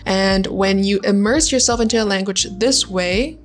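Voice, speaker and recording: A young woman whose first language is Vietnamese, explaining language learning as an English tutor. She talks in a friendly, chatty way at a middle pitch, with loose fillers here and there. Soft music plays faintly behind her. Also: normal speed. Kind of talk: casual YouTube lesson talk.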